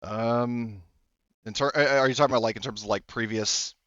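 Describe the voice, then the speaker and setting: A man working as game master, narrating a tabletop game off the cuff in a halting, rambling, self-correcting way. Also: mid-range voice, fairly even intonation, fairly bright tone, dry recording, muffled recording.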